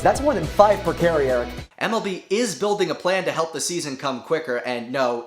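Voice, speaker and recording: A man in his twenties delivering sports broadcast narration and commentary as a reporter and announcer. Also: fairly high pitch. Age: 20s.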